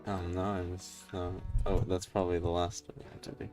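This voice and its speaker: A woman making low-key, hesitant casual remarks during a game. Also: level tone, brisk, dark tone. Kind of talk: casual aside during gameplay. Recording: game music under the voice.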